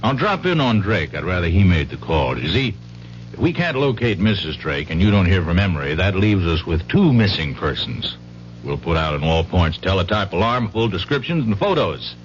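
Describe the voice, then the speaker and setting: A shrewd, unhurried detective in an old radio mystery. His low voice swings expressively as he probes with hesitant, folksy asides. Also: big pitch swings. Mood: businesslike. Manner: hesitant, probing, with murmured asides. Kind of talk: 1970s radio mystery acting. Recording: muffled, noisy 1970s radio recording with hum.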